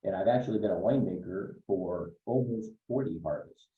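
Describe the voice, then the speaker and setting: Older man, winemaker, low voice, far from the mic, echoey, tinny phone-like sound. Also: fairly quick. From Northern California. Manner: relaxed, explanatory, with many fillers. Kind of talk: explaining wines in a virtual tasting.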